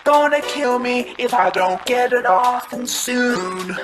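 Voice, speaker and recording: A woman's voice: on the high side, slow pace. A woman playing a desperate, pleading choking victim, strained and gasping, in a musical detective game. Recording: music behind the voice. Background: loud music.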